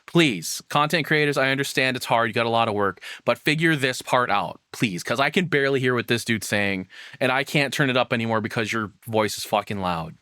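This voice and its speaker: Bright-voiced man ranting casually, very close and dry. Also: mid-range voice, fairly quick, smooth, no echo. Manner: rambling, opinionated, profane. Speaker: streamer reacting to a video. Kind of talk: casual livestream commentary.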